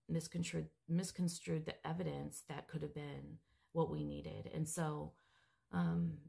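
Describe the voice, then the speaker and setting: Hoarse, raspy woman with a cold. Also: sits low, darkish tone. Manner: earnest, measured, stumbles over medical terms. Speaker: grieving mother seeking justice. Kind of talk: direct-to-camera update, reading from a report.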